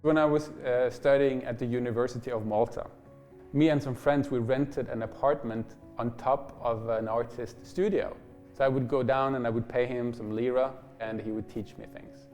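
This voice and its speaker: A man from Norway, a painter, telling his story in a measured, reflective interview monologue that trails off quietly at phrase ends. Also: medium pitch, steady tone. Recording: faint music behind.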